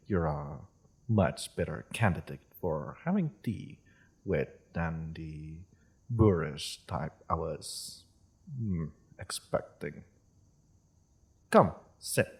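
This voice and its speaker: Guy doing a polite gentle dragon for an audio roleplay, husky voice, really slow and courteous, kinda hesitant. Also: deep voice, exaggerated voice. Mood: courteous, slightly awkward. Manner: polite, refined, hesitant, softly chuckling host. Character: courteous, gentle dragon hosting tea in its cave. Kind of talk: roleplay audio drama, addressing the listener.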